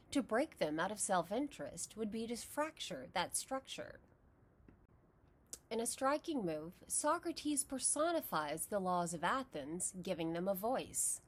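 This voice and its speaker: A woman with a Texas accent, narrating a scripted philosophy lesson in a measured, explanatory way. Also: medium pitch. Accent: Texan. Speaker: philosophy lecturer.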